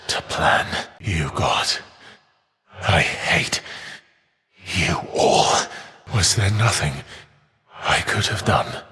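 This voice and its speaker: An actor playing a proud, vengeful, defiant Titan of fire in a video game, speaking with grave, haughty, archaic formality. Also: male voice, low voice, sharp tone, a little hiss or hum, exaggerated voice. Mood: bitter defeat. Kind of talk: dramatic video game villain voice acting. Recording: long reverb tail.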